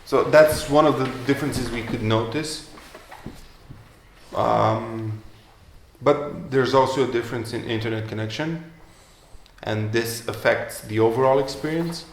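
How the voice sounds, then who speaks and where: Male entrepreneur, halting on stage, roomy echo. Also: medium pitch, a little hiss or hum. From Romania. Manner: hesitant, many fillers and restarts. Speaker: guest entrepreneur. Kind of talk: live interview at an event. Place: event room. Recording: echoey room with faint ambience.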